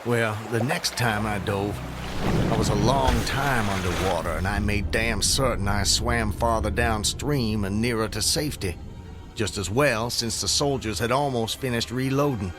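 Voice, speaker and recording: An actor in a radio drama playing a dazed fugitive who recounts his escape in a halting, dramatic, old-fashioned storytelling manner. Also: lowish voice, over music, natural voice. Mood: intense, vivid.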